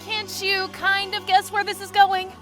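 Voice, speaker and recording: Woman voicing a high-pitched, spirited young female detective. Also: monotone, a little slow, fairly bright tone, soft background music. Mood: teasing, eager. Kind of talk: dramatic fan dub of a video game.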